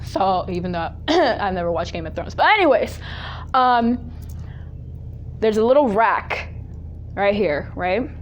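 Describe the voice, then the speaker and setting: A woman's voice: medium pitch, lively intonation, a little slow, long pauses, a little hiss or hum. A woman telling comic personal stories in a casual, dramatic, exaggerated way, acting out conversations and dropping in the odd Spanish phrase. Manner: dramatic, exaggerated, acts out family dialogue; drops Spanish phrases into English. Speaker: storyteller, host of a personal story series. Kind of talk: animated comic personal storytelling, casual chat.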